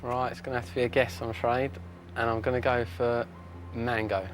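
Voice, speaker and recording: A man's voice: medium pitch, level tone, fairly quick, faint music behind. Hesitant young male quiz contestant. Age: 20s. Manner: hesitant, reasons aloud before answering. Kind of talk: thinking aloud on a TV quiz. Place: TV studio.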